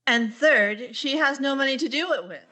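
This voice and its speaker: A woman's voice: high-pitched, moderate pace, very noisy, natural voice. A woman playing a wry, put-upon schoolteacher in a radio sitcom, with a dry, wisecracking delivery. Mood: wry narration. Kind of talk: radio sitcom acting and narration.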